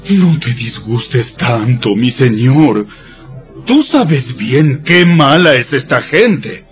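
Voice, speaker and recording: Defensive Aaron with animated pitch. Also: male voice, slow, soft background music. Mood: defensive, placating. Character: Aaron, defensive, excuse-making brother of a prophet. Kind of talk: dramatized audio Bible acting.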